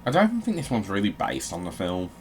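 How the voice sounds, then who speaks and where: A man's voice: on the low side, huge pitch swings, quick pace, darkish tone. A man giving casual video game commentary, swearing and grumbling jokily as he plays. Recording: clearly noisy background.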